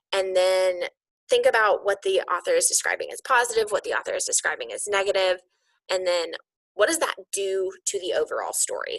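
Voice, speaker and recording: A woman's voice: medium pitch, gravelly, a bit bright, no room sound, low-quality recording. A woman giving an informal, conversational instructional walkthrough, explaining things as a teacher would to colleagues. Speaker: teacher coach explaining lesson plans to colleagues.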